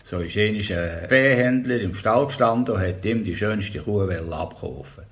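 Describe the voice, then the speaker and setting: An older man from the Bernese Oberland in Switzerland, speaking with a Bernese German accent, calmly reading his memoirs and dialect tales as a storyteller. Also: lowish voice, moderate pace.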